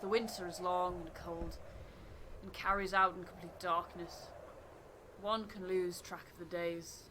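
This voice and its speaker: A woman's voice: lowish voice, flat delivery, a little slow, background noise, close to an everyday voice. A woman voicing a weary male ship captain who solemnly reads a grave personal log in a video game. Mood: grave, foreboding. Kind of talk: dramatic reading of game dialogue.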